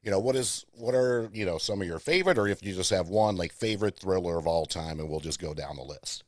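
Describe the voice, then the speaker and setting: A man's voice: sits low, expressive, quick pace, no room sound, clean studio sound. A man hosting a pop culture podcast, chatting with a film cast on press day and often restarting his sentences. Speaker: podcast host. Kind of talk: casual press-day interview.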